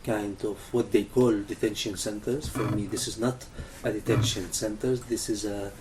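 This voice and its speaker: A man from Lebanon answering interview questions, speaking English haltingly with frequent pauses and filler sounds. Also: fairly low pitch, steady tone, crowd noise. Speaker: interview guest, activist coordinator. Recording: distant mic with faint ambient noise.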